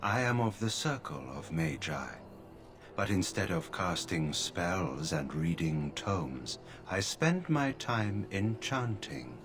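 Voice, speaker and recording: An actor playing an emotionless male mage from a video game, who speaks in a calm, formal, flat way. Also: deep voice, slow pace, music in the background, full character voice. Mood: detached. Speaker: game character.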